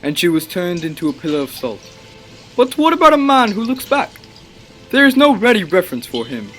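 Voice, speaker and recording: Haunted young male scientist, passionate, theatrical radio play delivery, highly animated pitch, formal phrasing. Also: brisk, soft background music. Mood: grave, haunted. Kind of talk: dramatic radio play acting and narration.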